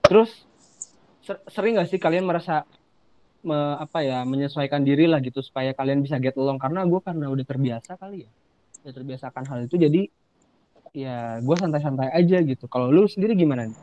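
A man's voice: fairly high pitch, fast, dark tone. A young man with a Jakarta Indonesian accent, hosting a podcast in casual, slangy chat on slightly dull-sounding audio. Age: young adult. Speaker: podcast host.

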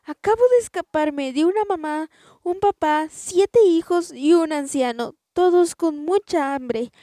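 A woman voicing a frightened, indignant runaway tortilla in a storybook reading, with a high, loud, exclaiming voice. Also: measured pace, no room sound, clean studio sound.

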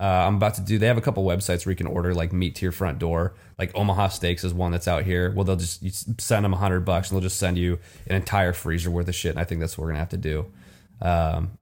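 A man co-hosting a casual chat, joking and excitable in quick banter. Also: sits low. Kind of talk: casual video-call chat.

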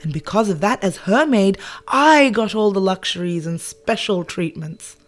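A woman's voice: medium pitch, lively intonation, moderate pace, soft background music, close to an everyday voice. A woman doing a scheming old maid. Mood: resentful. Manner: dramatic, cackling, sly whispering.

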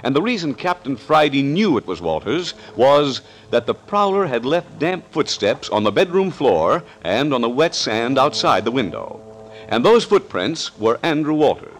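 A man narrating a suspense serial as announcer, with a dramatic, deliberate 1940s radio delivery. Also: medium pitch, big pitch swings, slight background noise, close to an everyday voice.

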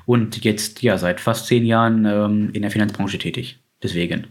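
A man's voice: on the low side, fairly even intonation, moderate pace. Chatty German man, finance advisor. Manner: chatty, enthusiastic, uses lots of fillers. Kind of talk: casual conversation.

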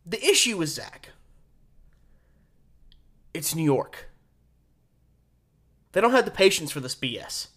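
A man hosting a sports radio show solo, giving opinionated, emphatic commentary with deliberate pauses and rhetorical questions. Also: on the high side, big pitch swings, a bit bright.

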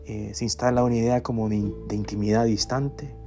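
A man giving a reflective academic lecture in a measured, deliberate way, breaking his phrases with pauses. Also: medium pitch, fairly even intonation, vocal fry. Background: guitar music.

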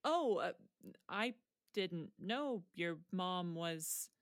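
Woman acting slow-spoken, frank teenage girl. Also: lowish voice, animated, dry recording, studio quality. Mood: warm, supportive. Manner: hesitant, frank, understated. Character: teenage girl student, frank, wry and supportive. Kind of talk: naturalistic audio drama acting.

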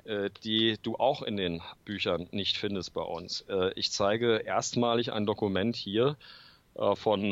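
Man with a cold, low gravelly voice, halting with many fillers, dry and dull lo-fi sound. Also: no room sound. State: has a cold, clears throat often. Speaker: author guest. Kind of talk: interview answers. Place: room full of books at home.

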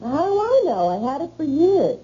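A brassy American call girl in an old radio play, teasing and worldly, drawling slangy endearments. Her very dark, mellow tone swings widely in pitch on a muffled recording. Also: wildly swooping pitch, normal speed, a little hiss or hum. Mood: coy. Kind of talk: old-time radio drama acting.

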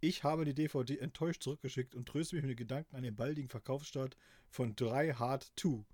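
A middle-aged man from East Germany co-hosting a podcast, reading aloud in a dry, deadpan way and chatting casually. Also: mid-range voice, fairly quick, no echo. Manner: dry deadpan delivery, laughing at his own reading. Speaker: podcast co-host.

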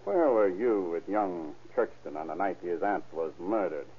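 An actor playing a gruff, skeptical male police chief in an old radio crime drama. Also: deep voice, animated, moderate pace. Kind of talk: 1930s radio acting. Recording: muffled old radio recording.

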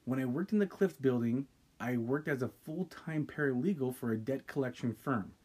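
A man co-hosting a casual podcast, reading a listener's ghost story aloud with dramatic pauses and stopping to react. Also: mid-range voice, animated, a little mellow, slightly rough. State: sipping wine. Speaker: podcast co-host.

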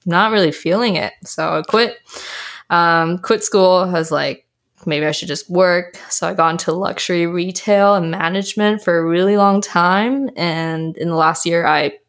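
Young canadian woman on a podcast, kinda low-ish voice, giggly and rambly. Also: moderate pace. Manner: bubbly, laughs often, lots of "like" and "um". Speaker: podcast guest, health coach. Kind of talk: casual interview chat.

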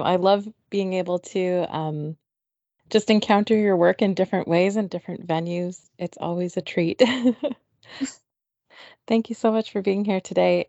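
A woman's voice: fairly low pitch, vocal fry, dark tone, dry recording, muffled recording. A woman from Atlantic Canada hosting a podcast, chatting in a friendly casual way with many ums. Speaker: podcast host. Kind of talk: casual interview chat.

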